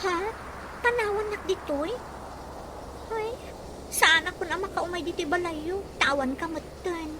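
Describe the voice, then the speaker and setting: A woman's voice: very high voice, a little slow, long pauses. An actress playing a clingy, discontented young woman with a plaintive manner in a radio drama. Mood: upset. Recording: very noisy, with ambient sound.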